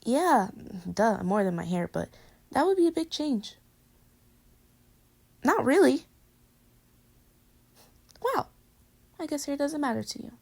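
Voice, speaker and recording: A woman's voice: high voice, sing-song, takes it slowly, gravelly, pauses often, a little mellow, exaggerated voice. A woman playing a playful, affectionate girlfriend in a casual, intimate pillow-talk roleplay, teasing her partner. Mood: playful, curious. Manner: casual, teasing, conversational with giggles. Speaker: audio roleplay voice actor.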